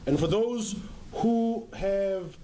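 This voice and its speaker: A South African man with a fairly high voice delivers a business presentation in an echoing room. He speaks in measured, emphatic short phrases, with a little noise in the recording. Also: mellow. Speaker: marketing executive giving a conference presentation. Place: conference room with an audience. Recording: roomy, echoing sound with faint noise.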